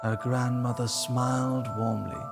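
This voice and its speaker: A man narrates a fantasy tale in a solemn, dramatic way. His delivery is slow with a creaky, gravelly grain, and music plays prominently underneath. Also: medium pitch, little pitch movement.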